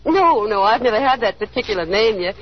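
A woman's voice: fairly high pitch, lively intonation, brisk, lightly acted. An actress playing a chatty, anxious young small-town woman putting on airs in a 1930s radio drama, with a gushing manner and nervous little laughs. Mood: gushing, affected. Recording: dull, hissy 1930s radio recording.

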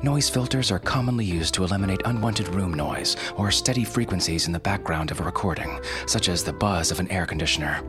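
A man with a very low voice hosts a podcast. He delivers scripted, explanatory narration. Music plays clearly underneath him. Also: brisk, hardly any pauses. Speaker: podcast host.

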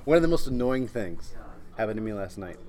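Fast-talking man. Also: lowish voice, big pitch swings, warm tone, slight background noise. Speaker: podcast co-host. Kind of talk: casual chat about anime and daily life.

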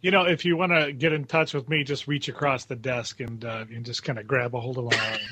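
A man's voice: mid-range voice, steady tone, a little fast, pushed and bright, a little hiss or hum. A man in casual chat as a voice actor guest, joking and self-deprecating.

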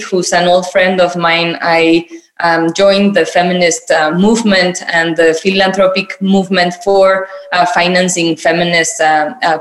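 A woman from Mexico tells her own story in a thoughtful, hesitant way. Her voice sits a little low and carries a noticeable room echo. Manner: thoughtful, hesitant, many fillers. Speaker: guest. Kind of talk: personal introduction in a conversation.

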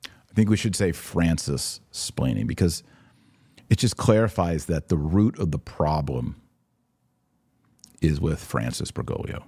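A man's voice: very deep voice, expressive, a little slow, pauses often, dark tone, slightly rough. A man hosting a religious podcast, giving opinionated, emphatic commentary and answering viewer comments, often repeating phrases to drive a point home.

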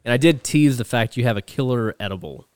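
A man's voice: mid-range voice, lively intonation, fast talker, darkish tone, clean tone. A man hosting a casual podcast, introducing topics and prompting his co-host with questions. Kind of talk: casual chat.

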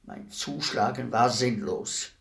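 Slow, gravelly, solemn executioner. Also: male voice, mid-range voice, a bit bright. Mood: reflective, solemn. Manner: formal, measured, deliberate delivery. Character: solemn, courteous executioner, humbled and reflective. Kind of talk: German radio play acting.

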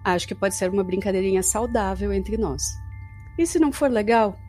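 A young Brazilian woman played by an actress speaks like a friend confiding in a diary. She is chatty, affectionate and informal, with expressive audio-drama delivery at a middling pitch, over faint music. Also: fairly quick, natural voice. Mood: playful, affectionate.

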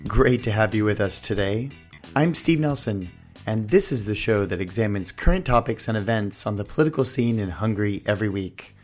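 A man hosting a politics podcast, reading a scripted intro with a hoarse voice, then interviewing a guest. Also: fairly low pitch, animated, brisk, over music. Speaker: podcast host.

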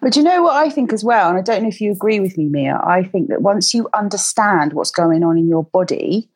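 A woman in her forties hosting a podcast, chatty and encouraging, speaking gently to a child guest. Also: mid-range voice, expressive, brisk, husky, dry recording. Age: 40s. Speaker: podcast host. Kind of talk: warm casual interview chat.

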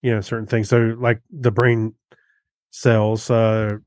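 A man co-hosting a podcast, chatting casually and musing out loud in a conversational way. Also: fairly low pitch, flat delivery, slow pace, dry recording, studio quality. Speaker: podcast co-host. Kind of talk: casual chat.

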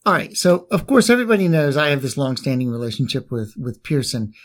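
A man's voice: medium pitch, very animated, fast talker. An American man hosting a podcast, interviewing guests in a loose, conversational way. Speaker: podcast host.

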